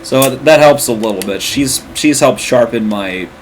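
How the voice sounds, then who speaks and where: A man's voice: mid-range voice, moderate pace, slight background noise. A man from the American Midwest with a Midwestern accent, chatting and explaining casually as a game stream co-host. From Moline, Illinois, American Midwest.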